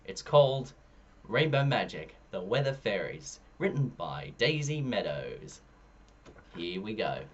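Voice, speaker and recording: A man reading a children's story aloud expressively, shifting his pitch between narration and dialogue. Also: very animated, measured pace, dull audio, a little hiss or hum. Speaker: storybook reader.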